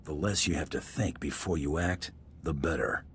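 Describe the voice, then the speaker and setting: A man's voice: very deep voice, normal speed. A man voicing a hard-bitten, greedy bounty hunter in a video game, terse and dry. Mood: dry, confident. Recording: faint noise behind game dialogue.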